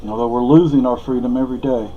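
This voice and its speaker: A man's voice: mid-range voice, fairly even intonation, very fast, dull audio, a little hiss or hum. A man from Spain, a native Spanish speaker, giving a rambling, opinionated monologue with many fillers and restarts. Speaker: vlogger.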